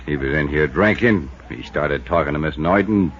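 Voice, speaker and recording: An American actor playing a gruff, defensive, streetwise hotel bartender with blunt slangy talk in a 1950s radio drama. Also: male voice, extremely low pitch, normal speed, a bit bright. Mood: grudging confession. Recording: muffled, faintly noisy 1950s radio recording.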